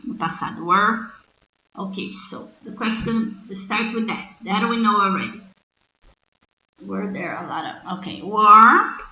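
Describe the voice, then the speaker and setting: A woman's voice: medium pitch, pushed and bright. A woman with a Brazilian accent, a native Portuguese speaker, teaching an English lesson and spelling out sentences word by word in an explanatory way. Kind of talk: bilingual online grammar lesson. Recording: roomy, muffled lo-fi audio with faint noise.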